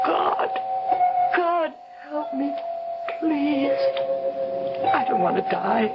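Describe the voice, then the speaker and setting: An actress playing a weak, terrified bedridden woman in old-time radio suspense, speaking in breathy, faltering fragments. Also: high voice, exaggerated voice. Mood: desperate pleading. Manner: halting, gasping soliloquy in short fragments. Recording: muffled radio recording, loud music.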